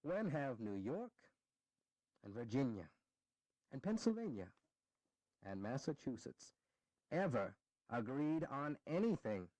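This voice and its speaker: An actor playing a courteous, conciliatory Virginian statesman in a historical audio drama, deliberate and rhetorical. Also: medium pitch, very animated, darkish tone, dry recording, low-quality recording. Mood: reassuring.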